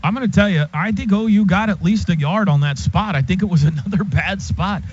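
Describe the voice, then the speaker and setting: A man doing live radio play-by-play of a football game in clipped phrases that can turn excited. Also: high-pitched, a little fast. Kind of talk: live American football commentary. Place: stadium radio booth. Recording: noisy, muffled stadium radio broadcast.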